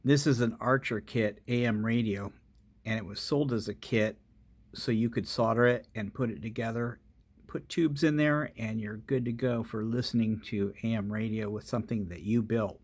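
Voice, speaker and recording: A man's voice: on the low side, fairly even intonation, moderate pace. A man narrating a casual hands-on electronics demonstration as its host.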